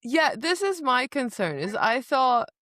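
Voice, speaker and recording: A woman's voice: high-pitched, sing-song, a little slow, fairly bright tone, no echo, clean studio sound. A woman co-hosting a podcast in casual book chat, retelling scenes and acting out short quotes. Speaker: podcast co-host.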